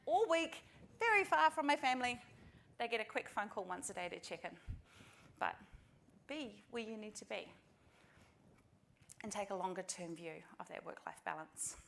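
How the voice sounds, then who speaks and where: A woman's voice: fairly high pitch, animated, normal speed, creaky, a bit bright, slight background noise. A middle-aged woman from New Zealand with a New Zealand accent, giving a practical, earnest conference talk straight to the audience. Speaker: conference speaker. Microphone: presentation mic.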